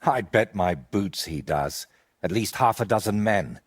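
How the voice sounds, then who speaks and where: A low, husky older man, a troubled medieval painter in a video game. His speech swings widely in pitch, earnest and haunted, weighed down by guilt and desperation. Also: lively intonation, unhurried. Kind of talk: video game dialogue acting.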